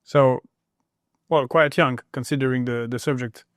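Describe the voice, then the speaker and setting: A man's voice: mid-range voice, steady tone, moderate pace, no echo. Interviewer man, dry sound. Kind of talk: interview questions.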